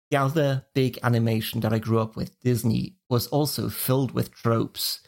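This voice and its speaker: Man from Switzerland, podcast host, steady organized delivery, mid pitch. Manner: measured, organized, leads the talk. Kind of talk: casual podcast chat.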